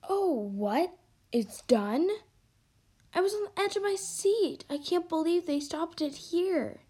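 A female co-host of a homemade podcast with a high-pitched voice. She talks informally, in a chatty style. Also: lively intonation, takes it slowly, mellow.

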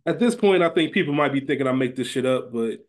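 A man's voice: mid-range voice, lively intonation, fast. A man co-hosting a podcast, chatting casually in colloquial, slangy language. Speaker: podcast co-host. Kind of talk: casual chat.

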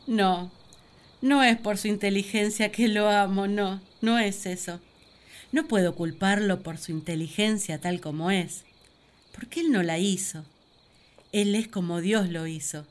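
A woman plays the first woman in a radio play, devoted and thoughtful. Her voice sits at a medium pitch. She reflects on her feelings gently and earnestly, as in a spoken diary. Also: measured pace, natural voice. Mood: tender, reasoning. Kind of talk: radio drama diary monologue.

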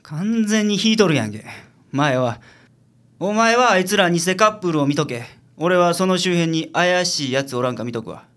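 ラジオドラマで、関西弁のぶっきらぼうで愚痴っぽい私立探偵を演じる男性。. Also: male voice, high-pitched, lively intonation, normal speed, a bit bright. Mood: brisk, commanding. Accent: Kansai. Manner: blunt, grumbling. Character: gruff, grumbling male private detective boss. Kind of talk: radio drama acting.

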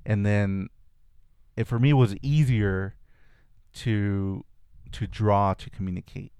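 Man, native spanish speaker, low voice, gravelly, smooth and resonant, dry close sound, reflective storyteller. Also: takes it slowly, darkish tone, no room sound. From California. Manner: reflective storytelling with frequent restarts. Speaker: guest. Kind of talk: casual conversation.

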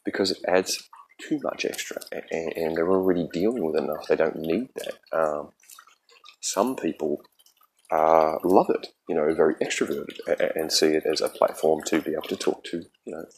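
Very deep-voiced Australian man, halting. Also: slight background noise, poor audio. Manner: halting, many ums and restarts; earnest and reflective. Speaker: podcast host. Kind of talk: solo podcast monologue.

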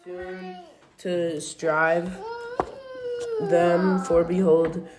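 A young reader reading scripture aloud haltingly, stumbling over words and correcting them. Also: mid-range voice, flat delivery. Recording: noisy home recording.

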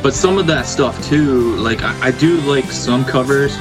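A man's voice: mid-range voice, unhurried. A man who plays in a rock band, chatting casually about music as a podcast guest.

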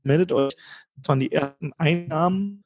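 A man hosting a podcast, asking questions in a relaxed, conversational way with fillers. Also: a little high, normal speed, lo-fi sound. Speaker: podcast host. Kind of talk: casual podcast interview.

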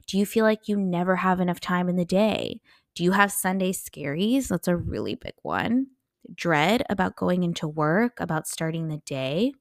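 A woman's voice: fairly low pitch, creaky, dry recording, studio quality. A young adult American woman hosting a solo podcast, talking informally in a chatty, enthusiastic and emphatic way. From United States. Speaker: podcast host and life coach.

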